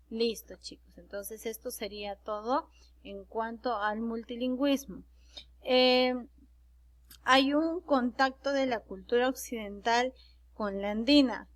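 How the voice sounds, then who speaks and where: A Peruvian woman lecturing a class, her voice sitting a little high. She explains step by step, pausing on fillers and correcting herself as she goes. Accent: Peruvian Spanish. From Peru. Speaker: teacher. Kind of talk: recorded online class lecture.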